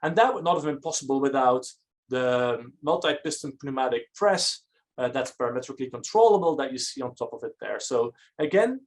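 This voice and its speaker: A man from Belgium, giving an academic architecture lecture in a measured, explanatory manner. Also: mid-range voice.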